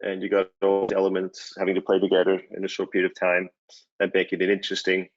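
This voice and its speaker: Swiss guy in his 40s giving a conference talk, low flat voice, lots of uhs. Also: quick pace, muffled recording. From Switzerland. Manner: relaxed, many fillers and restarts. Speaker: conference speaker, company CEO. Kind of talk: presentation talk.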